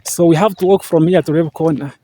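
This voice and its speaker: Ugandan guy vlogging outside, kinda high mellow voice, says thank you a lot, faint background hiss. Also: steady tone, quick pace. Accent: Ugandan English. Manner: repetitive, earnest, many fillers and thank-yous. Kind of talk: casual vlog talk to camera. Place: outdoors, walking through green farmland.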